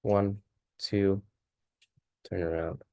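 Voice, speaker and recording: A man whose first language is Dutch, casually thinking aloud through a chart walkthrough, halting and correcting himself as he goes. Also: low-pitched, level tone, darkish tone, dry recording. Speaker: forex trader.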